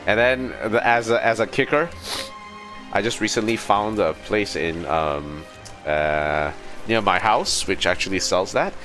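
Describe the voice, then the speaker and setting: A man chatting casually as a video game streamer, telling things in an animated, exasperated way. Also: lowish voice, a little slow. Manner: animated storytelling with exasperated asides. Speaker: livestreamer playing a video game. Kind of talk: casual rambling livestream chat. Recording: music behind the voice. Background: game music.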